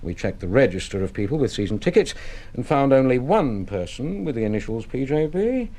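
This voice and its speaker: An actor playing a polite, insistent British police detective sergeant in a radio drama, speaking with formal, measured, hesitant courtesy. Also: male voice, mid-range voice, big pitch swings, mellow. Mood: formal, grave.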